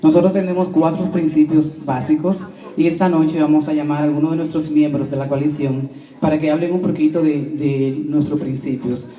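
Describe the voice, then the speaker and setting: A man co-moderates a town hall and introduces the next speakers with brisk, organized announcements. He talks quickly, and his voice is very dark and mellow. The sound is distant and echoey, coming from far off in a large hall. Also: fairly high pitch, steady tone. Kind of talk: formal address to an audience. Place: community center hall.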